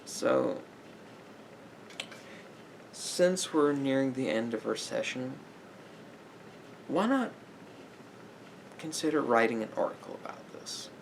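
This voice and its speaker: A man playing a sympathetic therapist in an ASMR roleplay, giving gentle advice in a halting, repetitive, hedging way. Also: mid-range voice, lively intonation, creaky, a little hiss or hum. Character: calm, sympathetic male therapist counselling a client.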